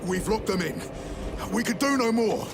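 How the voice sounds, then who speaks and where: A man's voice: sits high, animated, normal speed, noisy background. A man playing an embattled, defiant army soldier in a video game. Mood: desperate. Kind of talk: dramatic video game acting. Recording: loud music and battle din behind.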